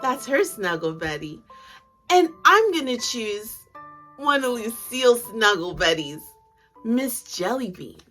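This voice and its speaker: A woman in her thirties hosting bedtime stories for children, with exaggerated, deliberate phrasing as she reads to young listeners. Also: on the high side, big pitch swings, fairly bright tone. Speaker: host of a children's bedtime story series. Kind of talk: children's read-aloud, storytelling to young listeners. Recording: music behind the voice. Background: music.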